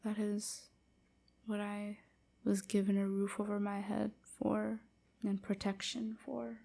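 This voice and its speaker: A woman playing a gentle, wounded vampire slave in an intimate ASMR roleplay, whispering in a breathy, halting, submissive way. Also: medium pitch, level tone. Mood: sad, resigned. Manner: slow, halting, hushed confiding.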